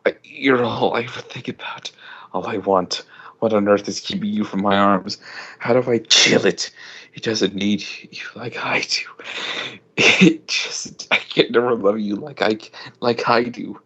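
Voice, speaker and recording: A man voicing an anguished, lovesick young woman in an amateur story reading, breathy and melodramatic. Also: fairly low pitch, steady tone, measured pace, sharp tone, heavily acted. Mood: breathless longing. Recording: dull lo-fi home recording.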